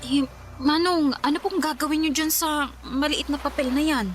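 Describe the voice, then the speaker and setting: High-pitched insistent young Filipina friend played by a woman. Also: moderate pace, slight background noise, close to an everyday voice. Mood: curious, worried. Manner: insistent, inquisitive. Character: concerned, suspicious, outspoken young female friend. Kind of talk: Filipino radio drama acting.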